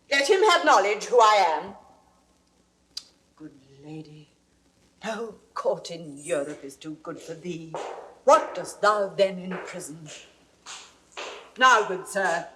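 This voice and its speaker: An actress playing a proud, sharp-tongued noblewoman in commanding, theatrical Shakespearean style on a vintage recording. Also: mid-range voice, expressive, slow pace, long pauses. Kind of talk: classical Shakespearean stage acting. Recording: echoey vintage recording, faint noise.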